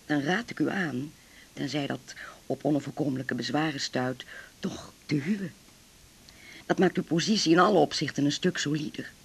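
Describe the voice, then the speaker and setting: A male legal adviser with a husky, breathy voice. He is formal, measured and discreetly pragmatic, in a naturalistic radio play. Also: a little high, full character voice. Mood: advising, reassuring. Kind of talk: naturalistic 1980s radio drama acting.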